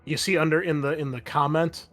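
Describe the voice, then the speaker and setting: A man's voice: a little high, steady tone, fairly quick, fairly bright tone. Man game master running a tabletop game. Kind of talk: tabletop game narration and rules talk.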